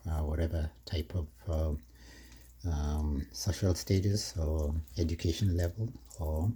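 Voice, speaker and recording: Deep-voiced man, slow and rambling. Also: steady tone, breathy edge. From Kenya. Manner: slow, rambling, with many fillers and soft laughs. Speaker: vlogger. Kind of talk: casual cooking vlog monologue. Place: home kitchen.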